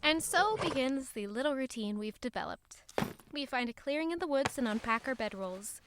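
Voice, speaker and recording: A woman acting a guarded, earnest young traveler with quiet defiance in an audio drama. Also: sits high, lively intonation, moderate pace, background noise. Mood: reflective, calm. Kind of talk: audio drama acting with first-person narration.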